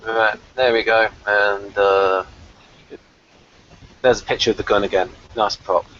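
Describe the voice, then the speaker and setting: A man's voice: on the low side, steady tone, unhurried, a bit bright, dull audio, a little hiss or hum. A man hosting a casual review chat, thinking aloud as he goes. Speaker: host of a review video.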